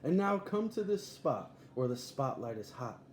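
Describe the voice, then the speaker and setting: A man's voice: mid-range voice, very animated, normal speed, natural voice. Man playing an eager boastful young circus showman, echoey. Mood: showy, proud. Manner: grandiose ringmaster barking, emphatic lists. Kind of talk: storybook read-aloud character. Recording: echoey room, faint background noise.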